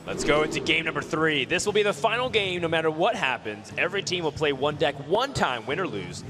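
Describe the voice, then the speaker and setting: A male esports caster with a slightly high, very animated voice that rises and falls freely. He gives upbeat play-by-play on a live tournament broadcast. Music plays clearly behind him. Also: a little fast.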